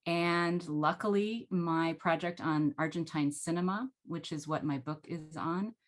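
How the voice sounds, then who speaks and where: A middle-aged American woman telling her story as a panelist on a video call from home, animated and chatty. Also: sits low, measured pace. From United States. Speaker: panelist, former Fulbright scholar. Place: home room, indoors.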